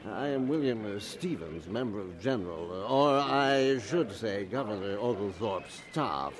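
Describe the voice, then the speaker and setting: An actor playing an eager, deferential aide to a colonial governor, formal and a little fussy, in an audio drama. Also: male voice, fairly low pitch, expressive, a little slow, crowd noise. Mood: welcoming, eager.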